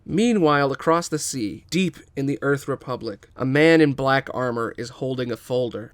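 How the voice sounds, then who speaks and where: A man reading a scripted story aloud as narrator, with expressive delivery. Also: medium pitch, no room sound. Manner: expressive, acts out every character. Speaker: podcast host and writer.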